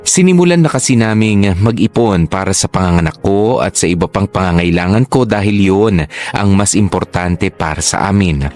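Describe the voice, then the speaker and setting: Man as Filipino storyteller, low voice, scripted first-person drama narration, faint music. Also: normal speed, a little mellow, close to an everyday voice. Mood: reflective.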